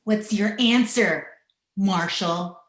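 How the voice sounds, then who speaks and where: A woman playing a bitter, hardened widow in an old-time radio western, speaking in a curt, deliberate manner. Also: medium pitch, lightly acted. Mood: cold, menacing. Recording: echoey room over video call.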